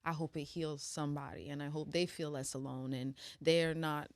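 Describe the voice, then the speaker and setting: A woman's voice: low-pitched, level tone, moderate pace, no room sound. A woman in her twenties, a singer speaking as a guest in a casual interview, earnest and reflective. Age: 20s.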